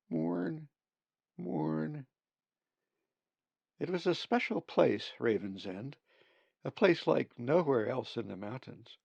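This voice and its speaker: A man narrating an audiobook of his own story in a measured storyteller's manner. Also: mid-range voice, creaky, a little mellow, dry recording, muffled recording. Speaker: author reading own book.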